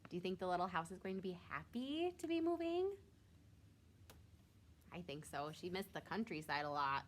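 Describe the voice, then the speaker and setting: A woman's voice: on the low side, slight background noise. A woman reading a picture book aloud to young children, expressively, drawing out words for emphasis like a teacher. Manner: expressive, with drawn-out emphasis and questions to listeners.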